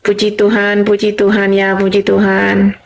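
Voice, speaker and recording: A woman's voice: mid-range voice, completely flat delivery, normal speed, creaky, low-quality recording. A woman from Madura gives a fervent, informal religious testimony. She keeps repeating reassurances and calls her listeners brothers and sisters. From Madura, Indonesia. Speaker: convert giving a religious testimony.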